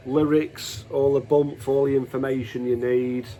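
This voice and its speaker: Slow-paced man, casual show-and-tell. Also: medium pitch, level tone, mellow, faint music behind. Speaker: host of a music collection video.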